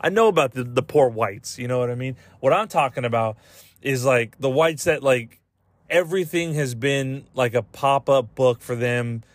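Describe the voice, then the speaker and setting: A man's voice: medium pitch, moderate pace, dry recording. A man hosting a podcast, rambling casually and comedically in slangy, profane English as he retells everyday exchanges. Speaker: podcast host.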